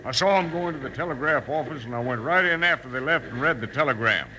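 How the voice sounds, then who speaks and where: A man's voice: mid-range voice, quick pace, bright, forceful tone. An actor playing a nervous, pleading henchman to a swindler in a 1950s western radio drama, insistent and whining. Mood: pleading, defensive. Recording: noisy old radio recording.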